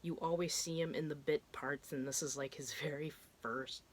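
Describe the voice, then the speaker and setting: A woman's voice: sits low, fairly even intonation, normal speed, a little hiss or hum. Congested woman chatting about films. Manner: chatty, rambling, swears casually. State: stuffed up, congested. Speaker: horror film vlogger. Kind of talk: casual chat.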